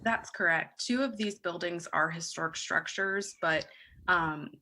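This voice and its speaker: A woman answering questions as a staff planner at a public meeting, explaining facts in a careful, measured way. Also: fairly low pitch, bright, forceful tone, slight background noise.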